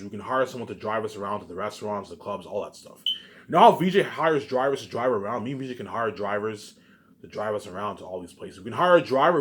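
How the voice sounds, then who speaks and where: Man rambling casually in repetitive, emphatic bursts. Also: fairly low pitch. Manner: repetitive, restarts phrases, frequent filler catchphrases.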